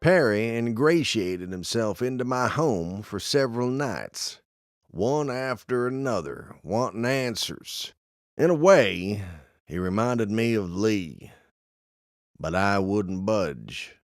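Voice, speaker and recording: Slow, low, brooding haunted narrator. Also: male voice, animated, no echo, studio quality. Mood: brooding narration. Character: grim, guilt-ridden dead man haunting his house. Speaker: narrator and in-story character. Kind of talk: scripted first-person audio drama narration. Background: music.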